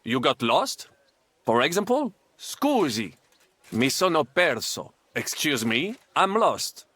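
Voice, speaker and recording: An actor voicing a suave, patient foreign gentleman who teaches courteously in a video game. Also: a little high, sing-song, slow, dry recording, heavily acted. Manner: courteous, instructive, flowery.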